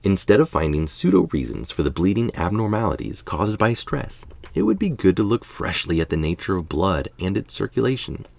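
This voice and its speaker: A man's voice: deep voice, wildly swooping pitch, fairly quick, creaky, few pauses, darkish tone, no room sound. A tired middle-aged man reading an article aloud, informal, with casual asides. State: tired late at night. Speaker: article reader.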